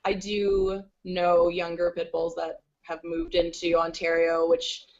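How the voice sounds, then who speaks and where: A woman speaking as a podcast guest over a video call, chatty and quick, with lots of "like" fillers. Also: lowish voice, completely flat delivery, lo-fi sound. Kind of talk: casual interview chat.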